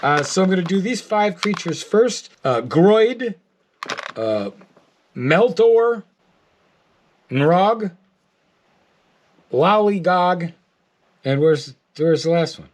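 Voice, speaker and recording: A middle-aged American man giving a casual, rambling video update, halting often and repeating his words. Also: high-pitched, big pitch swings. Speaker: artist and toy maker. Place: art studio, indoors.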